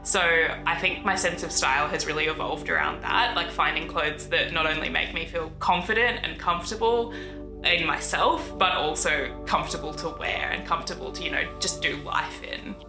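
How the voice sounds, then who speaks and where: A person with a woman's voice speaking thoughtfully in a documentary interview, measured and often saying "you know". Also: sits low. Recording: echoey room with music behind.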